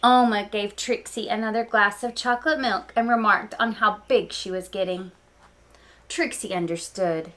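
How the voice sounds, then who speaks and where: A woman reading a picture book aloud to young listeners, expressive and speaking to them directly with small asides. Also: mid-range voice, normal speed. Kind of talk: children's read-aloud storytelling with asides.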